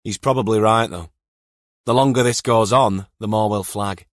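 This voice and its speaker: A calm female police detective as read by a male audiobook narrator, measured and matter-of-fact. Her manner is dry-witted, and the recording is close and dry. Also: fairly low pitch, dry recording, natural voice.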